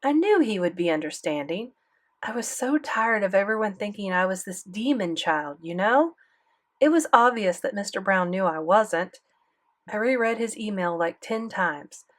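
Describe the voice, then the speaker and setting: Woman as schoolboy narrator, chatty, confiding, defensive, eager for approval, colloquial, medium pitch, audiobook storytelling. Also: animated, moderate pace, natural voice. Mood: elated, confiding. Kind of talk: first-person audiobook narration.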